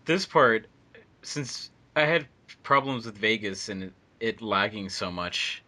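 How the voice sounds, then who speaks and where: A man with a deep voice talks through a fan commentary track. His tone is bright and pushy, and he rambles with frequent fillers and restarts. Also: lively intonation, a little slow. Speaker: fandub editor and voice actor. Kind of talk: casual commentary chat.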